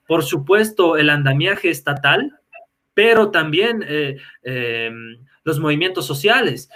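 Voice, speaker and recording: Bolivian guy in a live online interview, bright sharp voice, fairly high, lots of "eh"s, thinks it through. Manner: measured and analytical, many filler "eh"s. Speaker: guest speaker.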